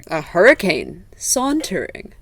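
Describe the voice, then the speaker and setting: A woman playing a gentle, hesitant, wryly playful friend in a naturalistic fiction podcast. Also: fairly low pitch, huge pitch swings, slow, slightly rough, full character voice. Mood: wry, reluctant.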